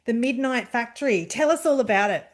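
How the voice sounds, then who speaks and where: A woman's voice: a little high, animated, brisk. A woman hosting a book interview in a casual, conversational way. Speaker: book show host.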